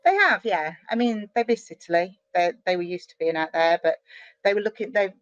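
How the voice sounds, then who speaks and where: A woman's voice: mid-range voice, brisk, no echo, muffled recording. Chatty British woman on a video call. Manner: chatty, animated, many restarts and fillers. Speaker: guest, online marketer. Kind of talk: casual interview chat.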